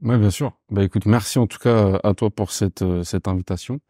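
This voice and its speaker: A man in his thirties from Paris, a podcast guest talking casually and informally, often pausing with fillers as he searches for words. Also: lowish voice, dark tone, no room sound, studio quality. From Paris, France. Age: 30s. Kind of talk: casual conversational interview.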